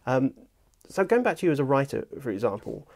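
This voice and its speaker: British guy doing a casual interview, bit of vocal fry, middle aged. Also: mid-range voice, very animated, a little fast, darkish tone. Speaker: interviewer, audiobook producer. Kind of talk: casual one-on-one chat. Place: small furnished office, indoors.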